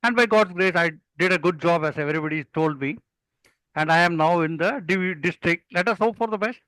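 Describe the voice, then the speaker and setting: Bright, high-voiced older Indian man. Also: fairly quick, no room sound, low-quality recording. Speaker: public speaking mentor and guest. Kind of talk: interview talk with anecdotes.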